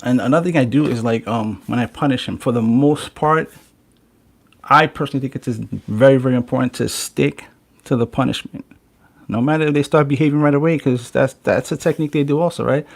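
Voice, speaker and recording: A man's voice: medium pitch, fairly quick, vocal fry. A man hosting a casual podcast, talking bluntly and swearing freely. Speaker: podcast host.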